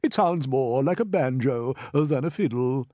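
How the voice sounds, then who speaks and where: A man's voice: mid-range voice, expressive, unhurried, dark tone, dry recording, studio quality, natural voice. A man voicing a genial, proud old green grasshopper musician from a storybook, avuncular and emphatic, drawing out his words. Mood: proud, explaining. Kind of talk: storybook character voice.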